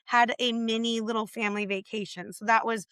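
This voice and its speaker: A woman with a slightly raspy, overused voice, chatting enthusiastically on a podcast as co-host. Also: fairly high pitch, level tone, a little fast, fairly bright tone, no room sound, studio quality. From California. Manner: friendly, enthusiastic, rambling. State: losing her voice from overuse. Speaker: podcast co-host, rules director. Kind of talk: casual podcast chat.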